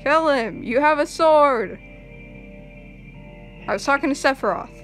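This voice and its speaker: A young woman giving casual, excitable live reaction commentary on game cutscenes, full of exclamations. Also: high voice, a little slow. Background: game cutscene music.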